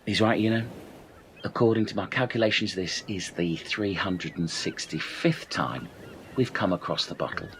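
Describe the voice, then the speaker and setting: A man's voice: low-pitched, lively intonation, moderate pace, a bit bright, a little hiss or hum. A man playing an earnest, pedantic know-it-all castaway in a comedy radio play.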